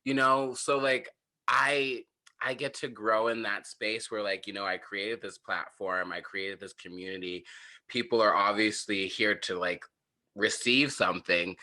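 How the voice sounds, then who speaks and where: Man, podcast host, very bright tone, lively, laughs a lot, lots of "like". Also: lowish voice, measured pace. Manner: animated, filler-heavy, laughs often. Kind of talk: casual podcast chat. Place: home bedroom.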